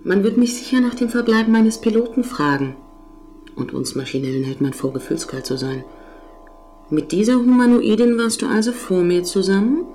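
A woman acts out a female machine intelligence aboard a space station. She speaks with formal precision and cool, dry mockery in a sci-fi radio play, at a middling pitch over music. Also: animated, moderate pace, warm tone, close to an everyday voice. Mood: dryly mocking. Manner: formal, matter-of-fact, dryly mocking. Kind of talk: science fiction audio drama acting.

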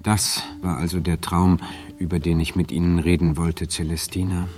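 A man acting as an awkward male therapy client in a radio play, with a low voice. He speaks hesitantly and keeps justifying himself, with music in the recording. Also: flat delivery, normal speed, darkish tone, close to an everyday voice. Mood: sheepish, defensive. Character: defensive, lonely male dream-therapy client, awkward romantic. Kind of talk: German audio drama dialogue.

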